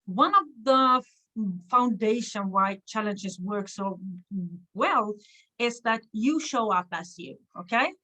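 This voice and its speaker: A Swedish woman speaks in a fairly high voice with the accent of her native tongue. She hosts a live stream in the manner of an online coach. She talks straight to her viewers, encouraging them and checking in as she goes. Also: lively intonation, slow, pushed and bright, low-quality recording. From Sweden. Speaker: online business coach and livestream host. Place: hotel room.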